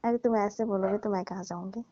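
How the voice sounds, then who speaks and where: A woman's voice: mid-range voice, fairly even intonation, fast talker, noisy background, close to an everyday voice. Woman acting a coaxing married lover, muffled call. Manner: coaxing, teasing, placating. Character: married older lover coaxing her sulking younger man. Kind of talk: scripted prank-style phone call drama in colloquial Hindi. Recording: dull lo-fi phone call recording.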